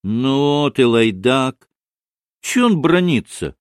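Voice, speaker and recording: A man's voice: mid-range voice, big pitch swings, slow, dry recording, studio quality, voice pushed up. A man voicing an irritable, outspoken young woman in a storybook, snappy and colloquial. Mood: annoyed, snapping.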